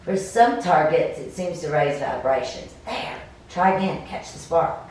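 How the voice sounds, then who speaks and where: A woman reads as an encouraging game heroine who explains and coaches in a low voice. It is recorded at a distance in a very echoey room. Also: expressive, unhurried, a little mellow, slight background noise. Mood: coaching. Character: female adventurer heroine, encouraging and instructive.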